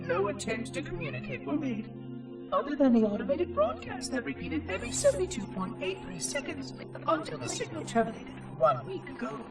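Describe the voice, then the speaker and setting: A small floating machine caretaker from a video game, precise and strangely chipper. The voice sits high and leaps around, with game score playing clearly behind it. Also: male voice, huge pitch swings, fairly quick, recorded from a distance, over music, exaggerated voice, poor audio. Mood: solemn. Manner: precise, formal, oddly cheerful. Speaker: monitor of an installation.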